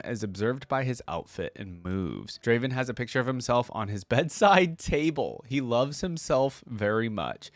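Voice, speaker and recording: A man's voice: medium pitch, no echo. A man hosting a reaction video, talking casually and sometimes reading reference text aloud.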